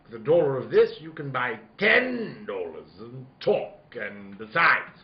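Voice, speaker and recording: Pompous older crime boss, wildly swinging intonation, courtly and crafty, radio noir, roomy dull recording. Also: male voice, medium pitch, big pitch swings. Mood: unctuous, jovial. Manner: florid, courteous, theatrical drawn-out phrasing. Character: jovial, crafty, pompous older villain obsessed with a treasure. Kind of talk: old-time radio noir acting. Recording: roomy, dull audio with faint noise.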